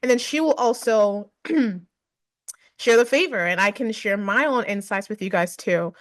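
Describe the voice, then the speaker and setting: A woman hosting a webinar Q&A in an upbeat, affirming manner. Her voice sits at a middle pitch. The sound is dry and close, with no room echo. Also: lively intonation, normal speed. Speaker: webinar host. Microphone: video call.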